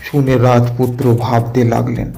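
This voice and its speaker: A man reads a fairy tale as a dramatic audio story. His voice is mellow and creaky, with slow, deliberate phrasing. Music plays softly beneath him. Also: mid-range voice, monotone. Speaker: storyteller narrator.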